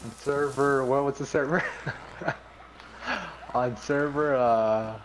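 A man chatting casually as he plays a video game, excitable and foul-mouthed, repeating himself often. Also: medium pitch, takes it slowly, background noise. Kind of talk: casual gaming chat with friends.

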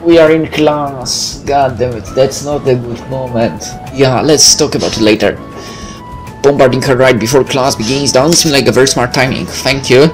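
A man's voice: medium pitch, moderate pace. A man reading a visual novel aloud as a gameplay host, breaking in with casual, joking, sweary asides. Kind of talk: let's play narration with casual asides. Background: game music.

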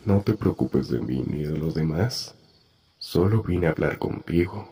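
Male actor as menacing animated villain, slow and sure of himself, deep creaky voice, Latin American accent. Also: full character voice. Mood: cold menace. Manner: slow, calm, self-assured. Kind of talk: amateur animated fandub acting.

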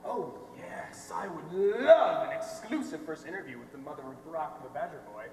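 Distant echoey stage recording, man acting flattering reporter, pushy, emphatic, lively pitch. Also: normal speed, crowd noise, close to an everyday voice. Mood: ingratiating. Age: young adult. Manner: emphatic, ingratiating. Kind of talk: high school stage comedy acting. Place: theatre stage, recorded from the audience. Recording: distant echoey hall, faint noise.

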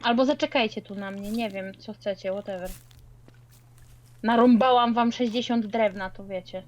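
A woman's voice: medium pitch, normal speed, darkish tone. A woman chatting casually while gaming, slangy, dropping in English words and swearing. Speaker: co-player in a multiplayer game.

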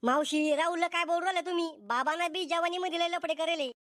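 A man's voice: very high voice, fast, a bit bright, no echo, clean studio sound. A man voicing a cheeky young friend who preaches mock-earnestly, in a comic cartoon style with a Vidarbha Marathi accent. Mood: preachy. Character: cheeky, philosophizing young friend defending young love.

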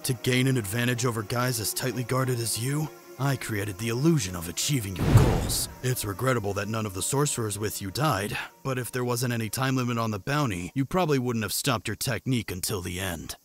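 A menacing assassin acted out by a man for a manga dub, pitched well down and quietly smug. He taunts in a cool deadpan, with music behind him. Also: brisk, hardly any pauses, lightly acted. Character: smug, menacing adult male assassin, coolly mocking. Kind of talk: amateur manga dub acting.

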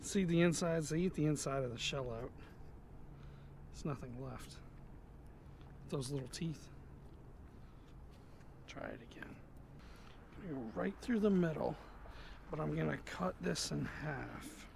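A man's voice: a little high, unhurried, gravelly, pauses often, darkish tone, slight background noise. A man vlogging while fishing on an outdoor pier, chatting casually and muttering to himself, recorded on a head-mounted action camera. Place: outdoors on a pier over an inlet.

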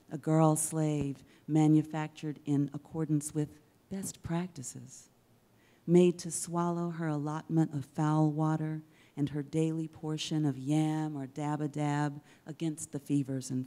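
A middle-aged woman reads poetry aloud into a stage microphone. Her voice is low and deeply mellow, and she takes her time, unhurried and measured. The delivery is dramatic spoken word, with rhetorical weight and deliberate pauses. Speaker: poet reading at an event. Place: indoors on a dark theater stage. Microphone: handheld-style microphone on a stand, close.

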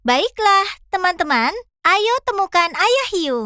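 High-pitched, eager cartoon fox kid. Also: female voice, measured pace, background noise, exaggerated voice. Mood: cheerful, eager. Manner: animated, childlike, clear instructing. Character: cheerful eager young fox, child helper. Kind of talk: children's cartoon acting, addressing viewers.

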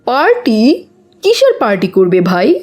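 High, lively, earnest cartoon schoolboy. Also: female voice, unhurried, full character voice. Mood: questioning, insistent. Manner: earnest, preachy. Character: pious, earnest schoolboy who admonishes his friend. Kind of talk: children's moral cartoon dubbing.

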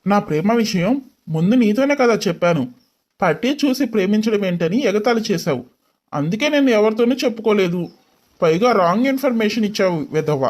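A man voicing a brash, lovestruck young man in a story, with expressive delivery. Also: sits high, a little fast. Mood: exasperated, teasing.

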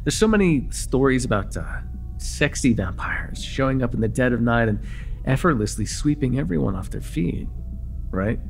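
A young man playing an excitable vampire in an immersive roleplay, casual and halting, insistent and teasing as he repeats himself. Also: medium pitch, gravelly, faint music behind. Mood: teasing, playful. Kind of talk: immersive ASMR roleplay acting.

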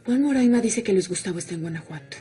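A woman's voice: mid-range voice, expressive, fast talker, lightly acted. An actress playing a young woman in a telenovela, anxious and pleading about her absent lover. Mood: desperate. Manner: pleading, soft. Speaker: heroine. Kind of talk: 1990s telenovela acting.

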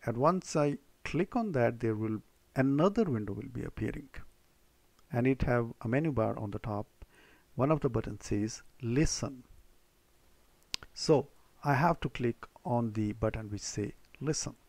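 A man's voice: mid-range voice, expressive, a little slow, creaky, darkish tone, no echo. Man giving step-by-step tutorial, dry sound. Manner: step-by-step, repeating instructions. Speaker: tutorial presenter. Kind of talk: spoken how-to computer tutorial.

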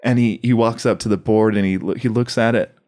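A man chatting casually as a podcast host, rambling through comedy sketch pitches and acting out bits as he explains. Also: fairly even intonation, brisk, a little mellow. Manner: rambling, acts out lines as he explains.

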